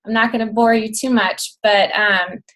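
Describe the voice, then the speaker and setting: Slightly hoarse woman in her thirties. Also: fairly high pitch, normal speed, poor audio. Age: 30s. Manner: chatty, excitable, rambling. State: losing her voice. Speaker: guest speaker, coach. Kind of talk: casual talk on a video call. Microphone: video call.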